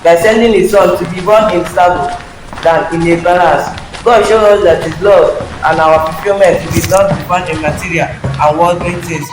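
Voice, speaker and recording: A man's voice: sits high, fairly even intonation, moderate pace. A young man giving a scripted devotional narration for a nativity play. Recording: echoey hall, long reverb, music underneath.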